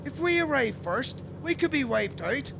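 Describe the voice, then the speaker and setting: A man's voice: very high-pitched, sing-song, normal speed, full character voice. A man playing a cautious, respectful British army lieutenant in a radio play, earnest and deferential. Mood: worried, respectful. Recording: noisy, with vehicle noise.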